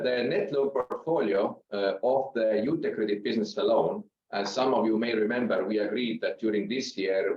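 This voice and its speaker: A man who is not a native English speaker, giving a measured, halting business presentation to investors as a company executive. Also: fairly low pitch, darkish tone. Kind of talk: business presentation on an earnings call. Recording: roomy, slightly dull conference-call sound.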